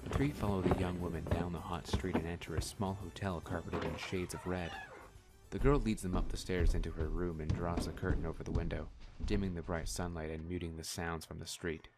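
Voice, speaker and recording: A man's voice: sits low, steady tone, fairly quick. A man reading scripted narration for a radio drama, describing the scenes. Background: faint music.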